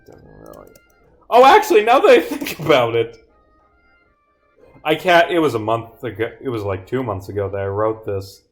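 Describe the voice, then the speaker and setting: A man giving live stream commentary as he playtests his own game, animated and given to muttered asides. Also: mid-range voice. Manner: animated, muttering asides and reading dialogue aloud.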